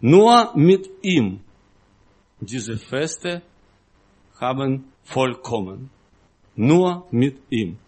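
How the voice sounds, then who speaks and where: A man preaching in a non-native, foreign-accented voice, halting and simply phrased, with emphatic repetition. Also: mid-range voice, big pitch swings, darkish tone. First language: not German. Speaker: guest preacher. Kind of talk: sermon-like teaching talk to a congregation.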